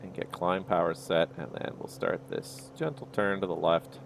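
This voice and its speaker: A man's voice: deep voice, moderate pace, gravelly, a little hiss or hum. A man streaming a flight sim, casually talking himself through each step of the flight as he goes. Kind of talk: livestream commentary, thinking aloud.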